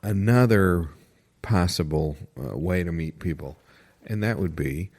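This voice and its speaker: A man hosting improvised comedy, offering mock advice in a halting, deadpan way. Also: low voice. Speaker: comedy show host. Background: plucked guitar music.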